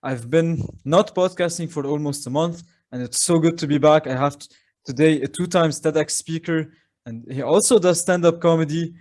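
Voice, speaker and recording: A man hosts a podcast interview with a voice a bit higher than usual. He keeps it casual and loose, with fillers and quick restarts as he frames his questions. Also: darkish tone. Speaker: podcast host.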